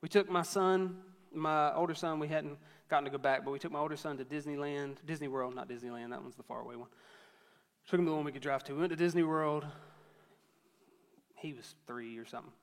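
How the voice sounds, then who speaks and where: A man's voice: on the high side, brisk, in a large hall. A man in his thirties preaching a sermon to a church, conversational and storytelling with self-deprecating humor. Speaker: pastor.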